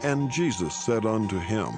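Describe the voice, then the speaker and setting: Low-voiced man narrating scripture. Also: expressive, over music. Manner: measured, formal reading.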